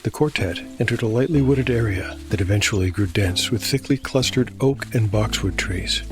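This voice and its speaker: A man hosting and narrating a solo tabletop game as a dark fantasy audio drama, measured and grave, with dice-roll commentary. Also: on the low side. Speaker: host and solo game master. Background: music.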